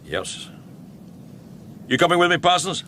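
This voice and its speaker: Brisk senior police chief, lively intonation. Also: male voice, high-pitched, slight background noise, heavily acted. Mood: brisk, approving. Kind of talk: radio drama acting.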